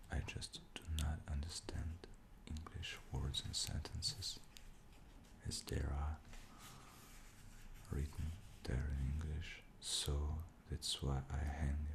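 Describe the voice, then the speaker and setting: Slow gravelly whispering man, Russian native. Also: very deep voice. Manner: soft, unhurried whispering with pauses and fillers. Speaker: ASMR creator. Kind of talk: whispered ASMR show-and-tell.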